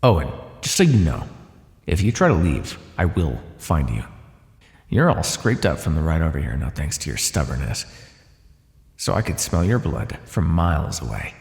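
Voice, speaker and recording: A bored naga predator, played by a man for fantasy ASMR roleplay. His voice is very low and gravelly, with lively swings in pitch. He talks down to his prey with casual, dry, teasing menace. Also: normal speed, heavily acted. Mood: cold warning. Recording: echoey, long cave-like reverb.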